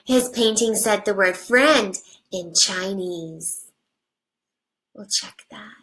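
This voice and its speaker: A woman teacher reading a picture book aloud to young children, with simple deliberate phrasing and hushed asides. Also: mid-range voice. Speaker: first grade teacher.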